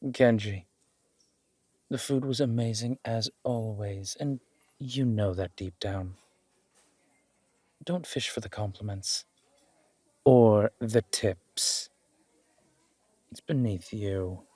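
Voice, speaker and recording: A man playing a charming, smitten mafia boss on a date in an intimate, conversational audio roleplay, teasing and earnest with a husky voice. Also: on the low side, takes it slowly, long pauses, warm tone, no room sound. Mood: teasing, casual.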